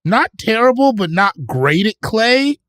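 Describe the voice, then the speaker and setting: A man co-hosting a comedy podcast, riffing jokes in animated banter and trailing off into mutters. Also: high-pitched, measured pace, dry recording, studio quality. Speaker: podcast co-host.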